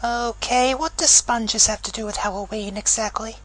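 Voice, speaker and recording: A woman voicing a gleefully psychotic teenage girl, mocking and sarcastic, with a gravelly, husky voice. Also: fairly high pitch, fairly even intonation, normal speed, fairly bright tone, dry recording, poor audio.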